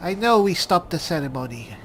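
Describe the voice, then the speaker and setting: A man roleplaying an earnest, worried cleric adventurer in a fantasy game, musing aloud in a halting manner. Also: on the high side, sing-song, fairly bright tone, slight background noise. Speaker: gamer playing a roleplay. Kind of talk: in-character improvised roleplay narration. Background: music.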